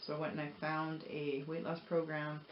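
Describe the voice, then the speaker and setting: A woman's voice: sits low, level tone, normal speed. A woman telling her personal story in a casual, motivational vlog style, conversational and repeating phrases for emphasis. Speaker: vlogger. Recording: roomy echo, dull sound, faint noise.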